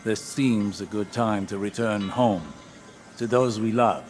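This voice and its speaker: A man's voice: lowish voice, lightly acted. A man playing a grave scholarly sorcerer. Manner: calm, deliberate and grave. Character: wise, measured old male sorcerer-scholar. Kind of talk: video game dialogue. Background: game score music.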